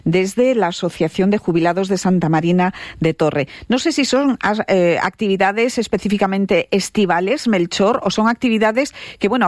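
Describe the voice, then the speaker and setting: A woman's voice: mid-range voice, dry recording, studio quality. A woman from Spain interviewing a guest on radio, asking leading, conversational questions.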